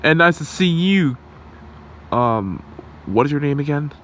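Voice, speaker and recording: A man voicing an earnest, cheeky and defiant young superhero in an amateur ASMR roleplay, quippy and animated. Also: on the high side, a little slow. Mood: cheeky, alert. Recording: noisy room hum.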